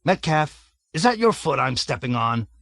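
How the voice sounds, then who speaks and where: A man voicing a bloated, blustering army colonel who roars and hectors in a raised, loud voice. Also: on the high side, sing-song, normal speed, vocal fry, dry recording, studio quality, heavily acted. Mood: bellowing, bullying. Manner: roaring, hectoring, sarcastic. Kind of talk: audiobook character voice.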